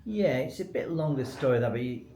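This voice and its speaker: A man's voice: mid-range voice, animated, brisk, dark tone. A man speaking unscripted as a company spokesman in a business webinar, explaining things in an informal, loosely structured way. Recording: echoey room with faint noise.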